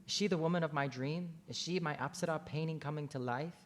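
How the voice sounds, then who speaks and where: A man's voice: on the high side, fast talker, a little hiss or hum, natural voice. Wistful romantic painter played by a man. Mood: lovestruck, wondering. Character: lovestruck dreamy male painter, reincarnated ancient king. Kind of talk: play table read.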